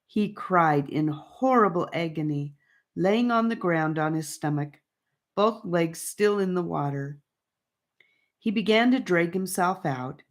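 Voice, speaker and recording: A woman narrating a fantasy audiobook in a measured, deliberate storybook style. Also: fairly low pitch, expressive.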